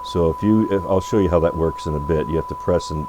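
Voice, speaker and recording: A man's voice: deep voice, quick pace. A man giving an unscripted, hands-on product demo, thinking aloud in an off-the-cuff way. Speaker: radio repair bench presenter. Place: electronics repair workbench. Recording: noisy recording with electrical hum.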